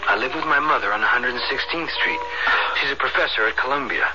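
An actor playing a kind, reassuring young medical student in a radio drama, earnest and persuasive. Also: male voice, medium pitch, quick pace, over loud music, natural voice. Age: young adult. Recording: noisy, dull radio broadcast.

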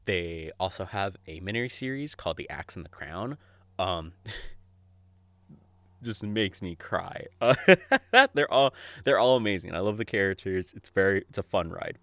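A young adult man hosting a podcast solo, chatting casually and off the cuff in a giggly, rambling, sweary way with halting false starts. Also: low voice, lively intonation, pushed and bright, no room sound. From Mexico or of Mexican family. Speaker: podcast host. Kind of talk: casual unscripted podcast monologue.

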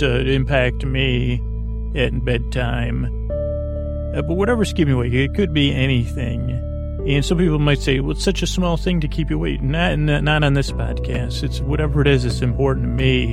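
Creaky-voiced man rambling soothingly. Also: medium pitch. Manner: meandering, halting, stammering, trails into tangents; deliberately dull, soothing, lulling. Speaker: host of a bedtime-story podcast. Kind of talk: rambling sleep podcast monologue.

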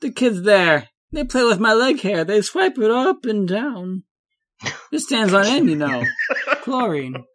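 A man voicing a huge, hairy president who lectures in slow, drawn-out, grandiose speeches. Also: high-pitched, very animated, a bit bright, voice pushed up, heavily acted. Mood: rambling.

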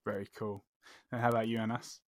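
A man hosting a podcast, asking guests short, soft-spoken questions. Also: on the low side, little pitch movement, fast, no echo. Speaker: podcast host. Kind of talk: interviewing guests.

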